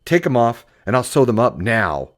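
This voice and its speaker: A man's voice: mid-range voice, big pitch swings, normal speed, pitched above the performer's own voice, close to an everyday voice. A man voicing a formidable, bossy older Italian grandmother in an audiobook, scolding and commanding. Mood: stern, scolding.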